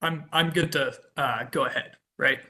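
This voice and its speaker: Young guy from kansas reading his testimony over a video call, kinda high, bright voice. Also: normal speed. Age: 20s. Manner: earnest, reads a prepared statement. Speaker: witness giving testimony. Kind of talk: prepared public testimony.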